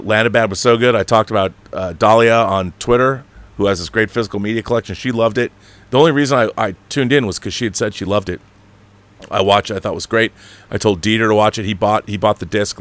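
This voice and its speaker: A man's voice: on the low side, fairly bright tone. A man hosting a casual livestream, rambling with emphatic, opinionated fan enthusiasm and the odd casual swear. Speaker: online show host. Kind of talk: casual livestream monologue and chat reading.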